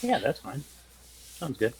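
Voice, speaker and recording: A man's voice: medium pitch, big pitch swings, a little slow, darkish tone, slight background noise. A man playing a tabletop game, chatting casually with the other players. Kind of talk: casual chat.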